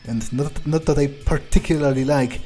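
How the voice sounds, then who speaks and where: A man playing a cold, cynical vampire, thinking aloud in a nonchalant, halting way as he plays through a game. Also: fairly high pitch, fairly even intonation, dark tone, soft background music, close to an everyday voice. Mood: cynical musing. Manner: nonchalant, hesitant musing. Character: cold, cynical male vampire, casually murderous. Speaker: game streamer role-playing his character. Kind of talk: in-character playthrough monologue, thinking aloud.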